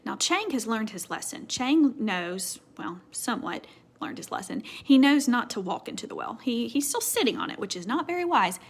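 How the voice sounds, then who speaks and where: Animated, high-voiced woman reading to children. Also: moderate pace, husky. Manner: teacherly, prompting with questions, dropping to hushed tones. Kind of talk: animated read-aloud with asides to young listeners.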